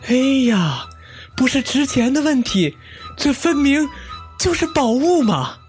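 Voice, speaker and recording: An actor playing a shrewd, enthusiastic young antiques dealer in a Chinese audio drama, telling things with expressive flair. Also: male voice, extremely high pitch, a little slow, soft background music, exaggerated voice. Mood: delighted. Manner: expressive storytelling.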